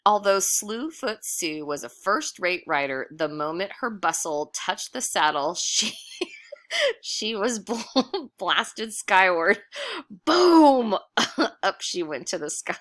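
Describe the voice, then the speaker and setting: Bright, unhurried woman reading a storybook aloud. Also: medium pitch, no room sound, clean studio sound. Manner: playful, amused, animated, addresses listeners directly. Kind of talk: picture-book read-aloud with casual asides.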